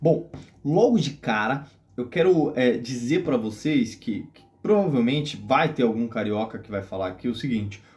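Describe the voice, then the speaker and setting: A man's voice: mid-range voice, lively intonation, normal speed, a little mellow, faint music behind. Brazilian man hosting a casual explainer, jokey and teasing, mid-range voice. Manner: joking, teasing, full of fillers and restarts. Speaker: video host.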